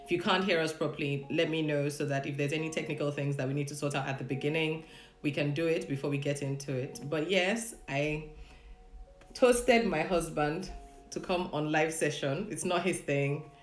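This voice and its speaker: A woman's voice: low voice, normal speed, roomy sound, faint music behind. A woman hosting a casual live-streamed chat at home with her husband, greeting viewers, laughing often and leading a prayer. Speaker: host of a live session. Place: home room, indoors.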